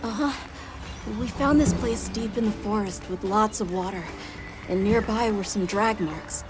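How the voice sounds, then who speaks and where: An actress voicing an earnest, observant youngster from an expedition party in a video game, with music behind. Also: female voice, medium pitch, a little slow, lightly acted, rough recording. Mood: earnest, hesitant. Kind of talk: video game cutscene acting.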